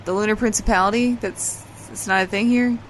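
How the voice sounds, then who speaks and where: A woman's voice: medium pitch, fairly even intonation, brisk, a little hiss or hum, lightly acted, poor audio. A woman playing an exasperated, dry-witted character in casual audio drama banter, sardonic and matter-of-fact.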